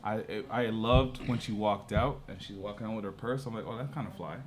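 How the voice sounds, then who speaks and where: A man, hosting a podcast in relaxed, joking casual chat. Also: lowish voice, fairly quick. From Trinidad and Tobago family, raised in Canada. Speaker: podcast host. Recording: faint background noise.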